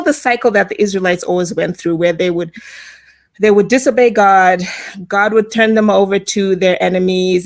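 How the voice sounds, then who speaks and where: A woman co-hosting a faith podcast, at a middle pitch. She retells stories in a relaxed way and slips into lively quoted voices. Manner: storytelling, acts out quoted lines. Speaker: podcast co-host. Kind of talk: casual faith podcast chat.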